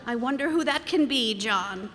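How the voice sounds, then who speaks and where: A woman's voice: a little high, lively intonation, normal speed, fairly bright tone. A woman playing a melodramatic, fed-up old wife in a hammy radio comedy, recorded in a noisy, echoey hall. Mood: theatrical. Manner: hammy, declamatory.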